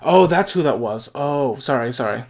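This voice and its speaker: A man's voice: medium pitch, animated, normal speed, a little mellow. Young guy on a headset in his bedroom, rambling reactions as he watches, lots of restarts. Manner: thinking aloud, with restarts and asides. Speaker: anime reaction host. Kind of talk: casual reaction commentary. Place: bedroom at home, indoors.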